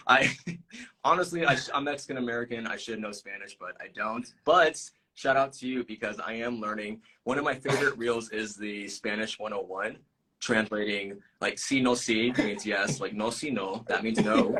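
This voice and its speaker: A man's voice: fairly low pitch, normal speed, pushed and bright, slight background noise, rough recording. A man hosting a live-stream interview, upbeat and enthusiastic, laughing often. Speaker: live show host.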